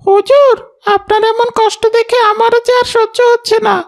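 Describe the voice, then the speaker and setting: Woman voicing a squeaky, loyal male servant. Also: fairly even intonation, normal speed. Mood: earnest, deferential. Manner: deferential, earnest. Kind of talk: cartoon voice acting.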